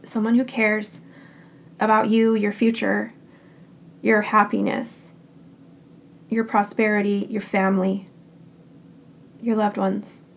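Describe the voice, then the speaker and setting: A hoarse woman with a raspy voice, still getting over a cold, calmly reads tarot cards for her viewers. Her delivery stays level, with little rise and fall, and a gravelly edge runs through her speech. Faint background noise sits beneath her reassuring, unhurried phrases. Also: medium pitch. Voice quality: raspy, scratchy throat. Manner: calm and reassuring, speaks in short unhurried phrases. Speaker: tarot card reader. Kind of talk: pick-a-card tarot reading.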